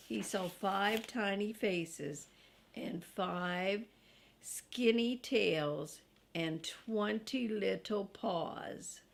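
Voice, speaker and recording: Slow-reading older woman with a creaky voice. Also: mid-range voice. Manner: slow, deliberate, grandmotherly read-aloud for young children. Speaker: storybook reader. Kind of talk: reading a children's book aloud with casual asides.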